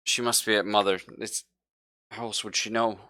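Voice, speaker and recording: A man playing a desperate, guilty crewman who confesses in halting, defensive bursts in a tabletop game. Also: lowish voice, fairly even intonation, pushed and bright, no room sound, clean studio sound. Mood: shaken, confessing. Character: desperate, guilty male crew member, gasping and pleading.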